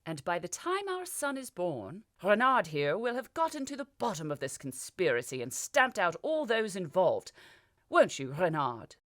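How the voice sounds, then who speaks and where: A woman voicing a petulant, childish young king who breaks into shrill, panicky outbursts. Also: sing-song, normal speed. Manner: shrill, whiny, hysterical outbursts.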